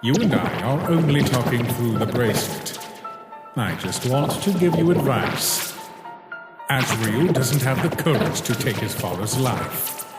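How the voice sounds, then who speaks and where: A man playing a calculating male schemer with a deliberate, persuasive, theatrical manner in a comic dub. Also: mid-range voice, lively intonation, lightly acted. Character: manipulative male schemer urging a warrior, grave and calculating. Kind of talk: dramatic comic dub voice acting. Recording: echoey reverberant sound under loud music.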